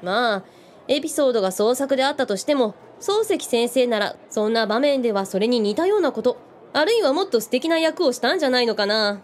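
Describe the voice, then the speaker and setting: An actress playing a tough, bookish woman who talks bluntly in rough, mannish words, in a comic radio drama. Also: on the high side, lively intonation, a little fast, natural voice. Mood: explaining, teasing. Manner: blunt, teasing, casual rough wording.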